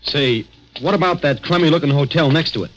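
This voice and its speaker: A man's voice: a little high, brisk, a bit bright, a little hiss or hum, close to an everyday voice, poor audio. An actor playing an anxious, bewildered young man in a 1940s radio crime drama, earnest, agitated and hesitant. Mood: worried, dejected. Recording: vintage 1940s radio recording with music behind.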